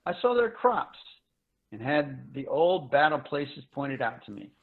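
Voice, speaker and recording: Tinny call audio. Resigned, formal male envoy, played by an actor, weary sci-fi drama, middle pitch. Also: very animated, measured pace. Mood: weary resignation. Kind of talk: dramatic reading of a science fiction story. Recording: thin phone-like video call audio.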